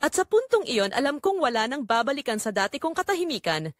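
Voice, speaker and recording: Female Filipino storyteller for audio drama, theatrical first-person read, highly animated pitch, very dry recording. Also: fast talker, no room sound.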